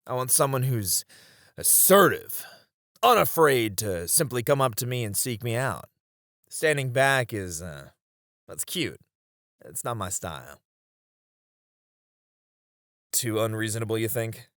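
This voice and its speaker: A man's voice: mid-range voice, lively intonation, measured pace, a bit bright, no echo, clean studio sound. A man playing a confident, teasing knight bantering with an old comrade in an audio roleplay. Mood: wistful, reserved. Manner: playful teasing with frequent chuckles. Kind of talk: ASMR romance audio roleplay, one-sided dialogue.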